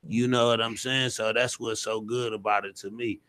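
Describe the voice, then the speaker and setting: A man's voice: fairly low pitch, steady tone, normal speed, a bit bright, clear, resonant tone. An American man chatting casually as an interview guest, informal and repeating himself for emphasis. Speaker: guest stage actor.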